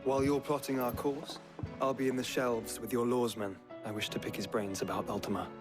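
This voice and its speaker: A gentle young British nobleman, played by a man in a fantasy game, speaking at an ordinary male pitch. He is courteous and bookish, with clear music behind him. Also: steady tone, fairly quick, lightly acted. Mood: calm courtesy. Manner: polite, formal. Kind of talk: video game cutscene acting.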